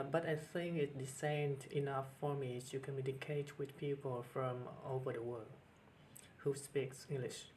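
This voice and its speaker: A middle-aged man with a Vietnamese accent, speaking earnestly to camera as a teacher with many pauses and restarts. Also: medium pitch, a little mellow, slight background noise. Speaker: teacher and channel host. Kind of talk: personal talk to camera.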